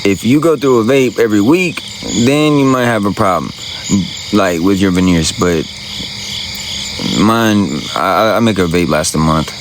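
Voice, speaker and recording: A man with a very low voice chats to his viewers in a loose, rambling, slangy way. He is streaming from a phone outdoors at night, with crickets and insects loud behind him. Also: unhurried, noisy background. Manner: laid-back, rambling, slangy. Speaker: livestreamer. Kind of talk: casual livestream chat answering viewers.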